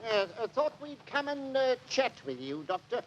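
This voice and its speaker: Excitable folksy london copper, old radio drama, jumpy pitch, bit of noise. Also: male voice, moderate pace, dull audio. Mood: sympathetic. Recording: noisy vintage radio recording.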